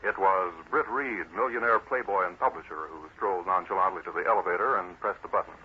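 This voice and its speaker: An actor narrating an old radio crime serial in a dramatic, scripted style. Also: male voice, deep voice, fast talker, a little hiss or hum, close to an everyday voice. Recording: muffled old-time radio recording.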